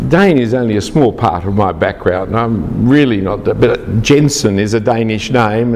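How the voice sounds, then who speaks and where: A man's voice: lowish voice, darkish tone, big-room sound, a little hiss or hum. A man from Australia, giving a lecture to a large group, chatty and teasing the audience.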